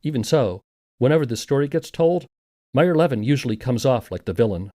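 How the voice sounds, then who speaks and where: A man's voice: mid-range voice, expressive, fairly quick, mellow. A man narrating a scripted documentary podcast in a conversational, wry manner.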